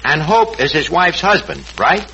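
Brash scheming barkeep, New York accent, pompous malapropisms, very bright and swooping, on a noisy old radio comedy. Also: male voice, a little high, wildly swooping pitch, moderate pace, highs cut off, exaggerated voice. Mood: cocky. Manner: pompous malapropisms and slangy grammar. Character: brash, scheming tavern manager who mangles his words. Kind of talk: 1940s radio comedy acting.

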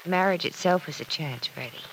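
An actress in a vintage radio drama playing a sensible, kindly, gently wry single woman with a reassuring, plain-spoken manner. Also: fairly low pitch, normal speed. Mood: gentle, reassuring. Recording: noisy old radio show recording.

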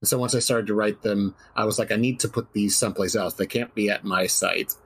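Man, lower register, matter-of-fact guest writer, switching between casual talk and document reading. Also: fairly even intonation, brisk, a bit bright, breathy edge.

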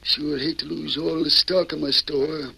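An actor playing an excitable, folksy storekeeper with an American Western drawl in an old-time radio western, full of grumbling rustic talk. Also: male voice, on the high side, measured pace, gravelly, husky, full character voice. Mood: puzzled, grumbling. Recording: noisy old radio recording.

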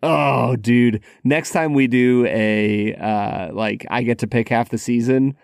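A man's voice: medium pitch, steady tone, takes it slowly, gravelly, no room sound. A man co-hosting a podcast in casual chat, with a dry, sarcastic humor and a habit of repeating words as he starts a thought. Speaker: podcast co-host.

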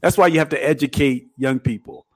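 A man's voice: medium pitch, brisk, no echo. A middle-aged man co-hosting a talk show, speaking emphatically in a casual preaching style and often repeating words. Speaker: podcast co-host.

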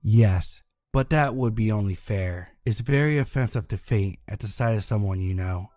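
A man voicing a thin, sinister male Christmas monster with a hissing, snake-like voice, louder than his narration. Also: lowish voice, normal speed, no echo, clean studio sound, soft background music, lightly acted. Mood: menacing.

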